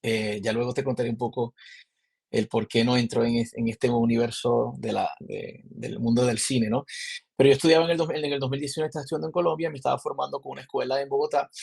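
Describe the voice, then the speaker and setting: Venezuelan film director guest in his 30s, gravelly husky voice, rambling storyteller. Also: mid-range voice, a little mellow. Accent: Maracaibo Venezuelan. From Zulia, Venezuela. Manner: rambling, frequent restarts and filler words. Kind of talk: casual interview storytelling. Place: remote call from abroad.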